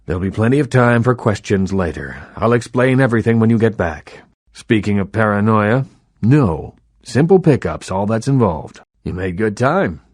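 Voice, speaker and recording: An actor playing an urbane, sardonic vampire baron in a video game, who talks in a quietly threatening way. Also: male voice, fairly low pitch, dry recording, clean studio sound. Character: old vampire baron, suave, menacing film buff.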